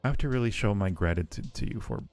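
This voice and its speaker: A man's voice: on the low side, fast, a little mellow, faint music behind. A man hosting a casual livestream, chatting playfully and affectionately with viewers in a rambling way, often repeating phrases. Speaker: livestream host.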